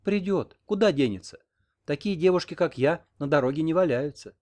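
Man voicing a coquettish, self-assured young village woman, lifted in pitch. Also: lively intonation, fairly quick, darkish tone, close to an everyday voice. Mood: proud, coy. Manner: coquettish, teasing. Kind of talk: audiobook character reading.

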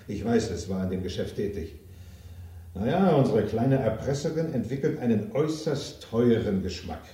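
A man's voice: mid-range voice, dark tone, far from the mic, lightly acted. An actor in a German crime radio drama playing a cynical, ambitious self-made businessman, blunt and coarse, with theatrical emphasis. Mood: bitter, sly. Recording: echoey room, off the microphone.

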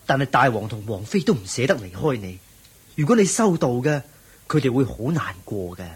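Radio drama supporting role: a devoted, courtly royal retainer, Hong Kong Cantonese accent, lively intonation, very husky voice. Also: male voice, mid-range voice, brisk. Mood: respectfully pleading. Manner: courtly, expressive. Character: deferential, persuasive royal courtier devoted to his prince.

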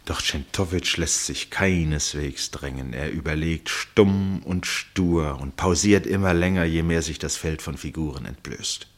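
An actor playing an absorbed first-person narrator in a literary radio play, measured and suspenseful, with deliberate pauses and a husky voice. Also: male voice, rumbling low. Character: absorbed male first-person narrator watching a tense match.

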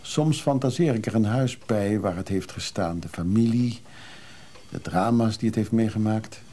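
An actor playing a restless, evasive recovering drinker in a radio play, hesitant and confiding. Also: male voice, on the low side, moderate pace, warm tone, natural voice. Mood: earnest. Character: restless recovering drinker, evasive man who craves freedom. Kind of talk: naturalistic Dutch radio drama acting.

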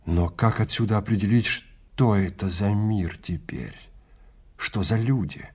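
Slow, dramatic male storyteller. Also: on the low side, darkish tone, husky. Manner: theatrical, deliberate, with pauses between short phrases. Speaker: narrator and actor. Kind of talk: dramatic literary narration of an audio play.

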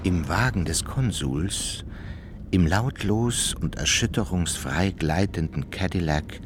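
A man's voice: low-pitched, expressive, slow pace, background noise. A man narrating a literary radio drama from a script.

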